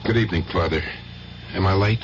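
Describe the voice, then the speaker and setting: A man's voice: low-pitched, brisk, soft background music, close to an everyday voice. An actor playing an older, hearty, folksy nightclub owner and showman in a vintage radio drama. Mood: folksy, reassuring. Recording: noisy, dull vintage radio recording.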